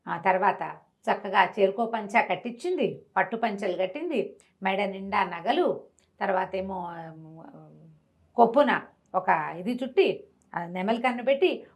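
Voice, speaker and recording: A woman telling a devotional story in lively, conversational Telugu speech, often repeating words and restarting. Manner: lively, rises and drops in pitch and volume.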